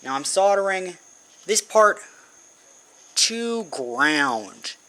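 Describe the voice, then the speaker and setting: A man's voice: high voice, big pitch swings, extremely slow, sharp tone. A man giving a casual how-to demonstration, informally thinking aloud as he works. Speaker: hobbyist presenting an electronics build. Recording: noisy amateur recording with insect hum.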